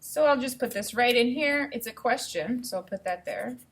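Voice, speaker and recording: A woman teacher reading aloud to children and thinking out loud, explaining things step by step in an instructive way. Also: fairly high pitch, lively intonation, normal speed. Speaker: elementary school teacher.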